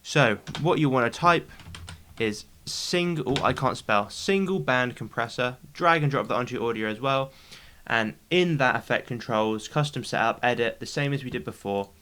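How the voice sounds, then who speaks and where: A man's voice: mid-range voice, animated, moderate pace, fairly bright tone. A man hosting a video editing tutorial in a casual, instructional way, often checking in with short tag questions. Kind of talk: casual spoken software tutorial.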